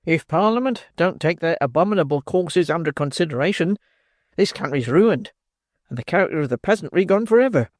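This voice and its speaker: A man's voice: fairly high pitch, expressive, brisk, no room sound, clean studio sound, pitched above the performer's own voice, close to an everyday voice. A man voicing a pompous, indignant older parish beadle in an audiobook reading. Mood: stern. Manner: pompous, blustering.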